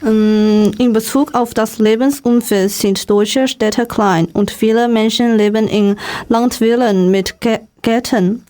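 Female radio guest, mid-range voice, Chinese accent, native Chinese speaker, halting delivery of prepared answers. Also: mid-range voice, warm tone. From Shanghai, China.

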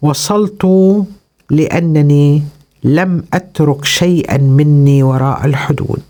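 A man performs spoken word poetry in a fairly high register. His delivery is deliberate and declamatory, set out in short phrases with weighted pauses between them. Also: darkish tone.

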